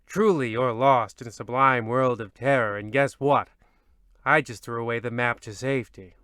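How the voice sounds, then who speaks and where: An actor playing a petty, theatrical male narrator of an old-time radio horror comedy, with ominous drawn-out lines and peevish asides. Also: medium pitch, a bit bright, smooth, no room sound, studio quality, lightly acted.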